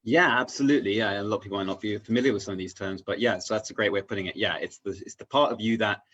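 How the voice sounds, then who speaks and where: A man talking casually and reflectively as a guest, haltingly searching for his words and often checking in with "right?". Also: lowish voice, fairly bright tone. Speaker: interview guest on spirituality.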